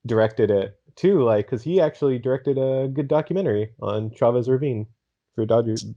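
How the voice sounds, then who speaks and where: A man's voice: mid-range voice, moderate pace, dark tone. A man chatting casually on a podcast panel in an understated, hesitant way, on a muffled recording. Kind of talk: casual chat. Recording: dull, muffled lo-fi sound.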